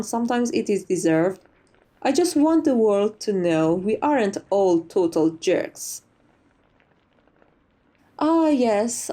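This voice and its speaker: Chatty, gracious female vampire played by a woman for ASMR roleplay; higher-pitched, soft-edged mellow tone, genteel phrasing. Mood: gracious, cheerful. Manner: genteel, conversational, slightly formal phrasing. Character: sociable, chatty, gracious old female vampire being interviewed.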